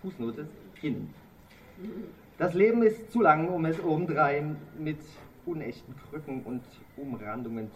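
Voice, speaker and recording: A man's voice: medium pitch, far from the mic, slight background noise, poor audio. A man in his thirties reading aloud from his own travel book, in a wry, mock-grand way. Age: 30s.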